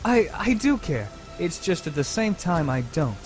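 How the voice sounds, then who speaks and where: A man's voice: fairly high pitch, very animated, moderate pace, loud music behind the voice. A man voicing a smug, scheming teenage boy in an anime fan dub, wordy and theatrical. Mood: defensive, persuasive. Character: scheming, smooth teenage boy angling for student council president.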